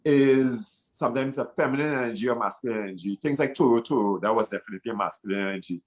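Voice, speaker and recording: A man on a discussion panel who speaks haltingly and repeats himself as he searches for the right words. His voice sits at a middle pitch. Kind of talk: live online panel discussion.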